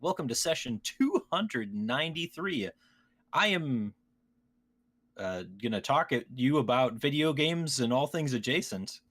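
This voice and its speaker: Man, mid-pitched voice, podcast host, casual banter, playful teasing, joking delivery. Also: animated, a little slow, fairly bright tone. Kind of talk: casual comedic podcast chat.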